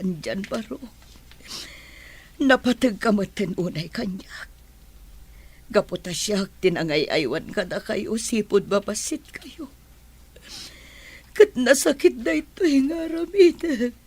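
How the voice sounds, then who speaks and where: A woman's voice: mid-range voice, slow, long pauses, a little mellow, slightly rough. A woman acting a sorrowful, self-sacrificing nanny in an Ilocano radio drama, plaintive and resigned. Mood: apologetic, sad.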